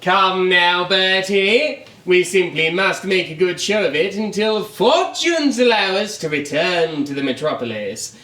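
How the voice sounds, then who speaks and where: Cheery upper-class English radio gent, slow and swooping delivery, echoey. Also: male voice, high voice, lively intonation, pushed and bright, exaggerated voice. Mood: jolly, pompous. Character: posh, cheery English gentleman of radio comedy. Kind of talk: old-time radio comedy acting. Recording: distant mic, echoey theatre.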